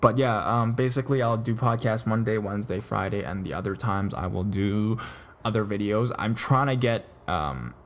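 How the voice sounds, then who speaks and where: A man's voice: on the low side, normal speed. A man hosting a podcast, chatting casually and rambling, with frequent stammers and restarts. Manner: loose, chatty delivery with many fillers. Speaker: podcast host.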